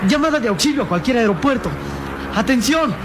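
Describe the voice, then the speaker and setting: A man's voice: very high voice, expressive, a little fast. A man playing a frightened, urgent young boy in an old radio adventure serial. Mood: urgent alarm. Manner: urgent, alarmed. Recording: noisy radio drama, aircraft engine behind.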